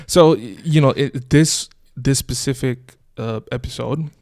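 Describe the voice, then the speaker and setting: Chatty man with a hybrid Bangladeshi-American accent, gravelly edge. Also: mid-range voice, unhurried, a little mellow, no room sound, studio quality. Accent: hybrid of Bangladeshi, American, British and Australian. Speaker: podcast host. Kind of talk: casual conversational interview.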